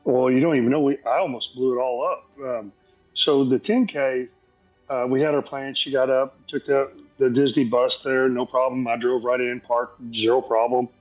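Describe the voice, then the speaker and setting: A man's voice: medium pitch, steady tone, normal speed. A man guest telling stories casually in a folksy, rambling, self-deprecating way. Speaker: podcast guest. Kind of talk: casual anecdotal storytelling. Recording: dull lo-fi sound, faint music.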